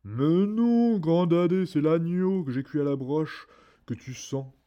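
A man voicing a giant ogre's wife who answers in a high, loud, scolding tone. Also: takes it slowly, lo-fi sound.

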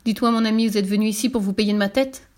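A woman's voice: mid-range voice, steady tone, very fast, a little mellow, lightly acted. A woman voicing a blustering, wily male circus director in a children's story, with brusque interjections. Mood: angry.

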